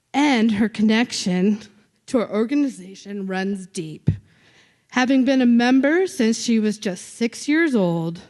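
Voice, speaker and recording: A woman club director introducing a speaker at an awards event, talking loudly and joking about herself. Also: medium pitch. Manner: loud, chatty, self-joking.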